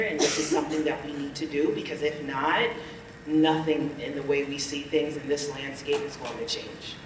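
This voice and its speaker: A man from Nigeria speaks on a panel, earnest and emphatic. His voice sits fairly high. The sound is very echoey and distant, as from a hall. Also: a little hiss or hum. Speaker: panelist, pharmacist. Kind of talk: panel discussion, personal story.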